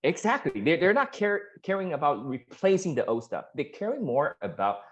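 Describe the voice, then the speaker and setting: Earnest man on lo-fi audio. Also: normal speed. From Taiwan. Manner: earnest, many restarts and fillers, rises in pitch when animated. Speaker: startup founder pitching. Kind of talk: pitch Q&A answers. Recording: dull lo-fi sound.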